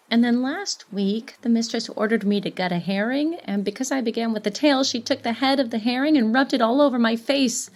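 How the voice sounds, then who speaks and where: Woman voicing a high, plaintive young boy. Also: lightly acted. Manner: plaintive, earnest. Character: frightened, mistreated young apprentice boy writing a pleading letter. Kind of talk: storybook character reading.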